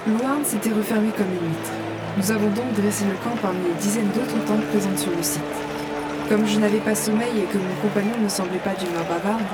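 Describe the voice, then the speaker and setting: A woman's voice: mid-range voice, natural voice. A woman playing a curious gamer who narrates her fantasy adventure in scripted first-person audio fiction.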